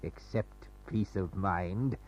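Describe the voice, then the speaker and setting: Deep, husky, sinister doddering spy. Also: male voice, darkish tone, full character voice. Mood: menacing. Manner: stammering, repetitive, fussy, drawn-out phrasing. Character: sinister, doddering male foreign spy, outwardly polite. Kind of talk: old-time radio thriller acting. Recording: muffled, noisy old radio recording with hum.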